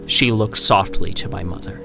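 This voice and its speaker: A man voicing a grim, bitter first-person narrator in a dramatic horror reading, with measured, poetic pauses. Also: low voice, vocal fry. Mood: brooding. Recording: music behind the voice.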